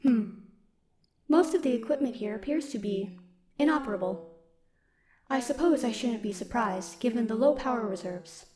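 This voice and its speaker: A woman playing a stoic, dutiful military robot in an audio roleplay, speaking in a formal, measured, protective way. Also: fairly high pitch, natural voice. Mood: composed, caring. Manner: formal, measured, literal wording. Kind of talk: scripted audio roleplay, speaking softly to one listener.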